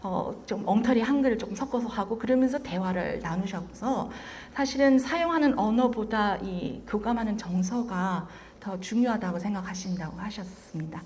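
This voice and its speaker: A woman moderates a talk and interprets for the guest. She speaks very fast and fluently in a mid-range voice. A long hall reverb follows her voice. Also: mid-range voice, a little mellow, slight background noise. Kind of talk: interpreting between Korean and English. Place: large hall.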